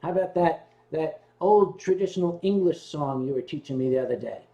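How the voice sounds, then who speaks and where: A man hosting a casual livestream, joking and swearing freely, with bursts of rapid talk. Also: on the high side, lively intonation, gravelly, muffled recording. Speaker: livestream host. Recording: roomy room with loud guitar music. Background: guitar music.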